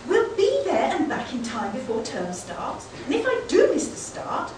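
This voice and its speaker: A woman's voice: high-pitched, huge pitch swings, normal speed. A woman in an amateur radio play playing an eager schoolgirl daughter, pleading in a girlish way. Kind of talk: amateur live comic radio play acting. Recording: echoey hall, distant, background noise.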